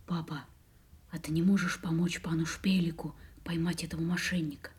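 A woman's voice: deep voice, little pitch movement, moderate pace, a little mellow, slightly rough. An actress playing a curious, eager little boy in a fairy-tale audio play.

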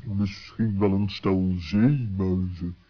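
A man voicing a dignified, fussy owl, deadpan and pompous, in a children's radio play. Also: deep voice, slow. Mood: dry. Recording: muffled old sixties recording.

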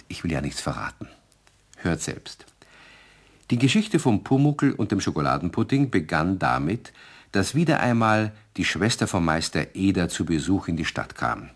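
A man playing a genial storyteller, narrating a children's radio play in a playful, expressive way. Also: low-pitched. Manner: addresses listeners playfully, measured and expressive.

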